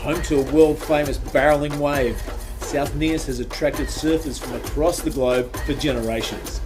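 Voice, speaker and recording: A man's voice: fairly high pitch, fairly even intonation, moderate pace. A man reading scripted promotional narration as a voice-over. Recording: loud music under the voice.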